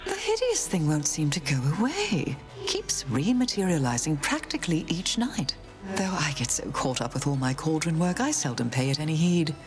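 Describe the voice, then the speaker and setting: An actress playing a sinister, genteel witch in a video game, speaking in an archaic, measured, theatrical way. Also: sits low, big pitch swings, over music. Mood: dry, unbothered. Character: sinister, genteel witch brewing at her cauldron. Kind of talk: scripted video game acting.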